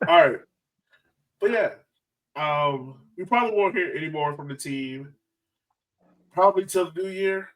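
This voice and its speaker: A man's voice: fairly high pitch, measured pace, pauses often, distant mic, low-quality recording. A man hosting a casual sports fan podcast, talking in an informal, slangy, joking way. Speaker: podcast host.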